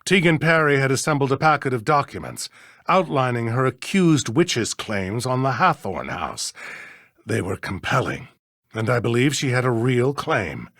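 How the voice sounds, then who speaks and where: A man's voice: medium pitch, very animated, moderate pace, fairly bright tone, no echo, studio quality. An actor playing a flustered, courteous older judge in a mystery game, fussy and hesitant in his speech. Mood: troubled, confessing. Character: flustered older male small-town judge, evasive, courteous. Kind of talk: scripted mystery game dialogue.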